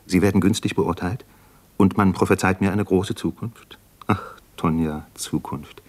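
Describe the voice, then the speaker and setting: An actor playing an earnest, homesick young doctor and poet in a reflective, intense 1950s German radio drama style. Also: male voice, low-pitched, normal speed. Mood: tender, wistful.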